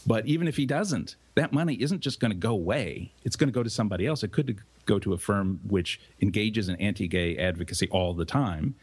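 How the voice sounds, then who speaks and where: A man co-hosting a podcast, talking things through in a measured, explanatory way. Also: deep voice, breathy edge, no echo. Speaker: podcast co-host. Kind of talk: casual podcast discussion.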